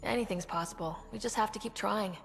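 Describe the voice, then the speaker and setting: A woman's voice: medium pitch, fast talker, vocal fry, lightly acted. An actress playing a young, supportive space explorer in a video game, casual and curious, with reverb and music around her. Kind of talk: video game cutscene acting. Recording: reverberant hall, music under voice.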